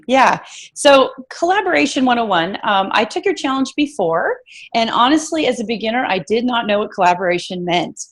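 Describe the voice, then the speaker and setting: A woman's voice: on the high side, sing-song, moderate pace. Woman host, froggy voice, lots of ums and you knows, casual chat. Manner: chatty, many fillers and restarts. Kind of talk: casual interview.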